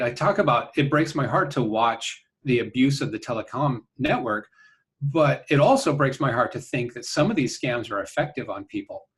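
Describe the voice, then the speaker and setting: A man answering interview questions conversationally, earnest and halting, often checking in with a "right?". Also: mid-range voice. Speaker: interview guest, company founder.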